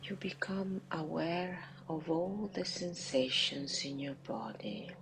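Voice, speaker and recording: A woman leading a guided meditation in a hushed, breathy, deliberate manner with long pauses between phrases. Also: lowish voice, low-quality recording. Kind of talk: slow guided visualisation, meditative narration.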